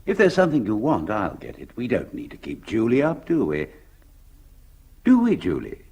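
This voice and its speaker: A smooth controlling husband, clipped commands, husky, very wide pitch swings, radio play. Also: male voice, wildly swooping pitch, normal speed, a little mellow, full character voice. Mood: cold, commanding. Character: controlling older English husband, smooth host.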